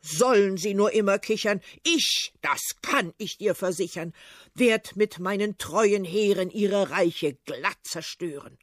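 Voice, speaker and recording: Woman putting on a vain old tsar, pompous, threatening, husky, dry. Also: deep voice, very animated, slow, dry recording, voice pushed down, exaggerated voice. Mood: blustering.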